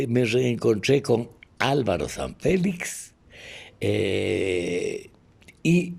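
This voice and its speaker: A man in his eighties with an Ecuadorian accent reminisces in an interview, haltingly, pausing to search for names. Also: fairly low pitch, gravelly, dark tone, slightly rough. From Otavalo, Ecuador. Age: 80s. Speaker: interviewee, veteran radio announcer and actor.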